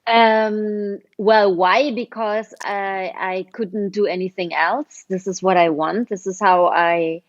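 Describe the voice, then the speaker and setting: Slow, thoughtful forty-year-old woman. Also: mid-range voice, steady tone, dry recording, dull audio. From Berlin, Germany. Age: 40s. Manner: thoughtful, with frequent hesitations. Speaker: guest, writer. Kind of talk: casual interview answers.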